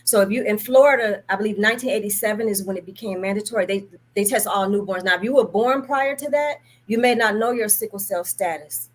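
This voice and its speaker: A middle-aged woman guest telling her story earnestly over a video call, explaining deliberately and with emphasis. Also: medium pitch. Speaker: guest, parent advocate for sickle cell. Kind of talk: earnest personal storytelling in an interview.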